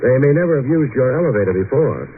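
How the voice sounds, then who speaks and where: An actor playing a wry, deadpan private detective, narrating and talking in an old-time radio noir style. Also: male voice, mid-range voice, fast talker, noisy background, lightly acted. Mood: bantering. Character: wry, hard-boiled male private detective narrating his case. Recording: tinny old radio recording.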